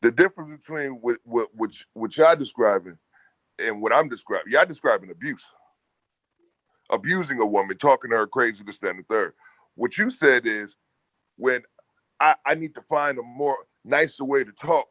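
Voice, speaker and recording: Loud, assertive man in close dry sound. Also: normal speed, dry recording, rough recording. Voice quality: deep, bass-heavy voice. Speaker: podcast panelist. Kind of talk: heated casual panel debate.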